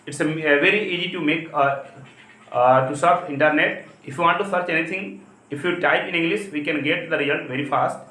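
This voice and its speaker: A man from India with an Indian accent and Hindi as his first language, giving an explanatory English lesson as a teacher, with halting, repetitive phrasing. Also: on the high side, bright, forceful tone. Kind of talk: spoken lesson, explanatory talk. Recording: echoey, roomy sound.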